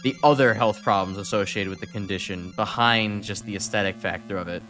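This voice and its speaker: Young Chicago-accented male streamer, low-pitched, loose and rambling delivery, soft music underneath. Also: a little fast. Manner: rambling, self-correcting, chuckling. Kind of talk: casual game stream chat.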